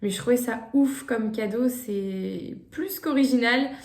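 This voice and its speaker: A woman's voice: on the high side, a little slow, a little mellow, slight background noise. Hesitant young woman in an echoey room. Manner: hesitant, many "euh" fillers and restarts. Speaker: vlogger presenting gift ideas. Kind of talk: casual chat to camera.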